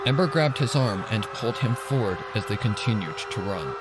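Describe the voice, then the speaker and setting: A man's voice: lowish voice, animated, moderate pace, very noisy. A man reading scripted fan fiction as a dramatic narrator. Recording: loud music and effects behind. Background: loud music.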